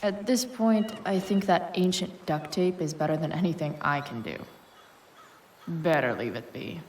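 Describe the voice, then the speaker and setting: A woman's voice: fairly low pitch, moderate pace, darkish tone, distant mic, a little hiss or hum, lightly acted. A woman playing a sullen, sarcastic teenage girl in a video game, talking in a dry, casual, slangy way. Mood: dry, musing. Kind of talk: video game acting, muttering to herself.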